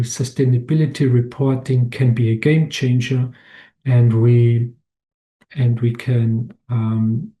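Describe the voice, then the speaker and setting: Halting Austrian-born man giving a webinar. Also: mid-range voice, dark tone. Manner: halting, many restarts and fillers. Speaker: webinar presenter. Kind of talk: slide presentation talk.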